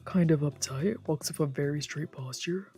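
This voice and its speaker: A woman voicing a low-key, probing male protagonist in a visual novel, casual and understated. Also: moderate pace, warm tone, faint music behind, close to an everyday voice. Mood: casually probing. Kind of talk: visual novel character read-aloud.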